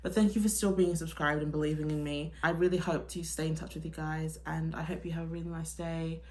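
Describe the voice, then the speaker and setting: A woman's voice: low-pitched, flat delivery, fairly quick, darkish tone. A young woman from the UK chatting casually through a get-ready-with-me Q&A, full of "like" and restarts. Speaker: vlogger, teacher in Korea.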